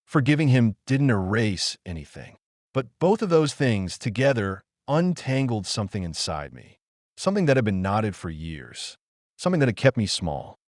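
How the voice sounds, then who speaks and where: A man performing a dramatic audio-play monologue as a wounded, solemn, defiant trauma survivor, speaking deliberately and emphatically with dramatic pauses. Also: fairly low pitch, no echo, studio quality. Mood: reflective, resolute.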